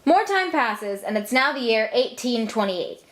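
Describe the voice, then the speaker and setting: Young female narrator, fairly high and expressive, casual flippant comic storytelling. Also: unhurried.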